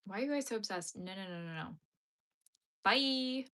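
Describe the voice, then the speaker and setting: A woman's voice: medium pitch, animated, moderate pace, fairly bright tone. A young Canadian woman with a Canadian accent, chatting casually on a livestream, answering viewers' comments while she crochets.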